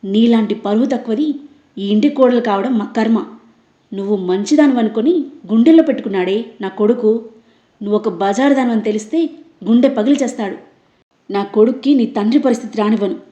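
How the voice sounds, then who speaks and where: A woman's voice: fairly high pitch, a little fast, pauses often, mellow, roomy sound, highs cut off, lightly acted. A woman voicing a harsh, accusing middle-aged mother-in-law who hurls insults. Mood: scornful, furious.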